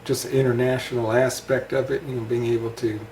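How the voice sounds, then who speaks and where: A middle-aged man with an American accent reminisces casually while being interviewed. His pitch sits in the middle range. The room echoes around him and there is slight background noise. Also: flat delivery, fast talker, darkish tone. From United States. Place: indoor room at a home. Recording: roomy echo, faint background noise.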